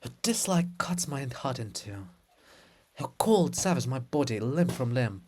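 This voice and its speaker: A man's voice: medium pitch, sing-song, unhurried, a little mellow, a little hiss or hum. A man voicing a lovesick monument of an apostle, pleading floridly and melodramatically as a scorned suitor in a farce. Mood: lovelorn lament.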